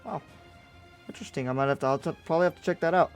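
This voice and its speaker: A man's voice: on the high side, very fast, smooth, lightly acted. A man playing an agreeable, easygoing friend of a demon slayer in a casual improvised game roleplay, speaking tentatively and reassuringly. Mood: curious. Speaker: roleplay player. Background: background music.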